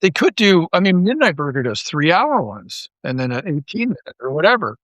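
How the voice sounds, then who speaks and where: A man with a somewhat high-pitched voice talks as a guest in a casual interview. He rambles and restarts, repeating words as he works out his thoughts. The sound is very dry, with no room echo. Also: very animated, brisk, a bit bright. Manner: rambling, thinking aloud with false starts and repeated words. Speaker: interview guest, audio drama writer and creator.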